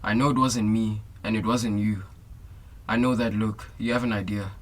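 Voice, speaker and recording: A steady, supportive police detective with a South African accent played by a young man. His voice is on the low side. The lines are delivered as radio drama dialogue. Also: normal speed, lightly acted. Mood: probing. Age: young adult.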